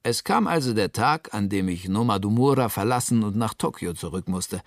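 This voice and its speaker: A man's voice: on the low side, lively intonation, moderate pace, dry recording, studio quality. A man playing a band musician who gives a matter-of-fact first-person account in a radio play. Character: band musician matter-of-factly recounting his return to Tokyo.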